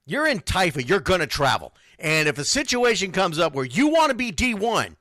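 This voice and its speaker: Animated, high-pitched man hosting sports talk. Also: normal speed, no room sound, clean studio sound. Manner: blunt, emphatic, repeats phrases for stress. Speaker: sports radio show host. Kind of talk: unscripted sports talk, answering listeners' comments.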